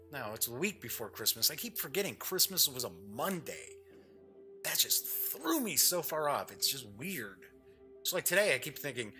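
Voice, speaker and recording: A man's voice: on the high side, sharp tone. A man hosting a board game show, giving casual unboxing commentary in a chatty way, thinking aloud as he goes.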